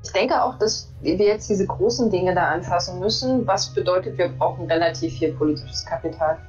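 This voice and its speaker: A woman's voice: on the low side, normal speed, a little mellow, muffled recording, soft background music. A woman in her thirties from Saxony, a political scientist co-hosting a livestream and explaining her views thoughtfully. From Saxony, Germany. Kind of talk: casual livestream chat. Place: home room.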